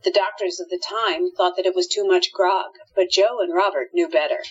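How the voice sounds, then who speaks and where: Female drama narrator: lowish, husky voice, scripted storytelling, slightly muffled audio. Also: fairly even intonation, normal speed, a little mellow. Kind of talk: scripted radio play narration.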